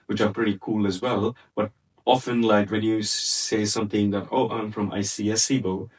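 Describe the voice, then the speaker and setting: A young man from India, Punjabi his first language, talks in a low voice, rambling through his thoughts with plenty of fillers. He sits back from the mic in a roomy, echoing space. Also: level tone, moderate pace, far from the mic. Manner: rambling, many fillers and restarts. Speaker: podcast co-host. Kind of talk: casual chat.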